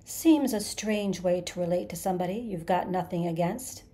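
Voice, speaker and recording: A woman's voice: fairly low pitch, moderate pace, warm tone, lightly acted. A woman voicing a vengeful, bitter male creature in an audiobook, snarling and hissing with sarcastic menace. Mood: accusing. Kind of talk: dramatized audiobook character.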